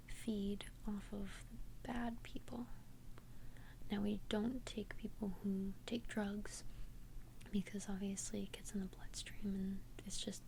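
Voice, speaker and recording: Woman, breathy ASMR whisper, soft dark tone, unhurried, gentle and confiding vampire friend, faint ambient noise. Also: medium pitch, poor audio. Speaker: ASMR roleplay performer. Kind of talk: whispered ASMR roleplay, one-sided conversation. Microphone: close ASMR microphone.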